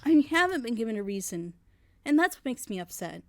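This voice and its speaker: A woman's voice: a little high, big pitch swings, a little fast, dry recording. A woman giving an opinionated, casual review in an emphatic, critical manner. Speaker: reaction show panelist.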